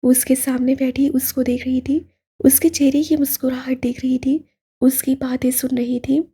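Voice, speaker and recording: A woman narrating a romantic novel as a scripted audio story, in a soft and very breathy voice. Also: high voice, flat delivery, moderate pace.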